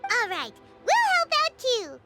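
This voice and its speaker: A woman's voice: very high voice, wildly swooping pitch, measured pace, loud music behind the voice, heavily acted. A woman voicing a small, cheerful, eager fairy companion with a childlike manner in a video game. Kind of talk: scripted video game voice acting.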